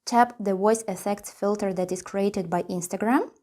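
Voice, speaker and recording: A woman's voice: mid-range voice, moderate pace, mellow. A woman presenting a tutorial, reading scripted step-by-step instructions.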